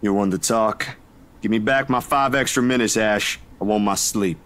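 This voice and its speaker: A lowish male voice, a man acting a laid-back young guy in a visual novel. He is wry and casual, with a protective streak toward his friends. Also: normal speed, fairly bright tone, slight background noise, close to an everyday voice. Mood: wry, teasing.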